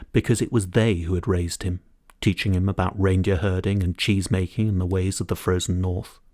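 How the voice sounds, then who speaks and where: A man reading a children's storybook aloud in expressive, scripted narration. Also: deep voice, no echo, clean studio sound. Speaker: audiobook narrator.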